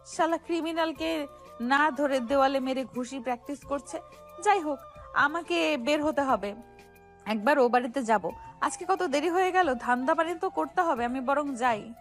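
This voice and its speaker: A woman's voice: high voice, moderate pace, soft background music. A woman playing a feisty, indignant young wife in an audio romance story, scolding and exclaiming in slangy, dramatic lines. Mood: exasperated muttering.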